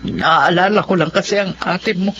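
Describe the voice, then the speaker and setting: A woman's voice: lowish voice, moderate pace, a bit bright, slight background noise, natural voice. Tender, fussing Filipino mother played by an actress. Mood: sad, worried. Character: caring, tearful, worrying mother of a grown son. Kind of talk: radio drama acting. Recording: dull lo-fi radio drama, music underneath.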